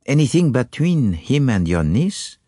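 A man's voice: mid-range voice, very animated, unhurried, warm tone, breathy edge, no room sound, clean studio sound, voice pushed up. A man reading an audiobook, voicing a fussy, courteous foreign male detective who speaks precisely and drops French phrases. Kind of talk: audiobook character voice.

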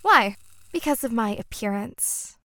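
A woman acting in an audio drama as a menacing mermaid, cool and aloof, with a formal, deadpan delivery. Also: on the high side, measured pace, no room sound, full character voice. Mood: cool, curt.

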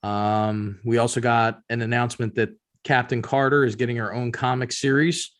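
A man's voice: mid-range voice, little pitch movement, normal speed. A man hosting a casual pop culture news chat, talking informally like a fan. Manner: informal, conversational fan talk. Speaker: podcast host.

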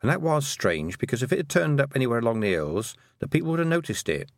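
A man's voice: medium pitch, sing-song, quick pace, vocal fry, dry recording, studio quality, pitched above the performer's own voice. A man voicing an earnest, stubborn and anxious young girl who hesitates, then blurts things out, in an audiobook. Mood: hesitant. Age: child. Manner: hesitant openings, then breathless rush of detail. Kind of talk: audiobook character dialogue.

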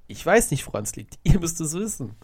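A man's voice: a little high, big pitch swings, fairly quick, husky. A man hosting a casual solo podcast, sounding stuffed up from a cold as he rambles through a football recap and corrects himself often. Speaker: podcast host.

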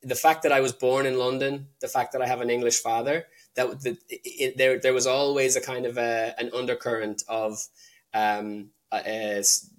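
A young man talking casually as an interview guest, halting with frequent restarts and fillers. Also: mid-range voice, level tone. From Ireland. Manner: hesitant, many restarts and fillers.

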